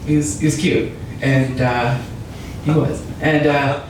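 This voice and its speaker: A woman's voice: extremely low pitch, fairly even intonation, slow pace. A young woman doing a stand-up comedy set in a barbershop, chatty, laughing often and restarting her sentences. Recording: echoey room from far off, noisy.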